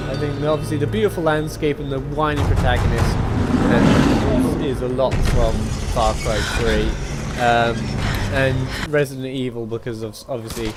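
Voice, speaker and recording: A young man giving a casual, rambling and opinionated video game review, animated and informal. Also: mid-range voice, normal speed. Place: voice-over on gameplay footage. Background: game music.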